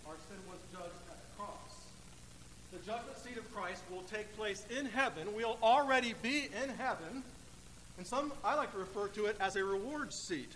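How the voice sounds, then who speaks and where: A man preaching a sermon in a church, speaking emphatically and deliberately with dramatic pauses. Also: high-pitched, a bit bright. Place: church sanctuary, indoors. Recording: echoey church hall with faint noise.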